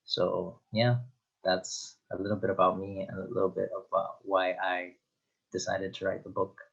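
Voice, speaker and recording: A man's voice: sits low, completely flat delivery, moderate pace, gravelly, husky, muffled recording. A young man from Zimbabwe, a guest author, answering interview questions thoughtfully with frequent ums and uhs. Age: young adult.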